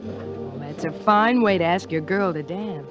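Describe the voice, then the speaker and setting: An actress playing a caring young wife, gently nagging and coaxing in vintage radio drama style. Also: female voice, lowish voice, a little slow, lightly acted. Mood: coaxing, teasing. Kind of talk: 1940s-50s radio drama acting. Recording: dull vintage radio recording. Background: music.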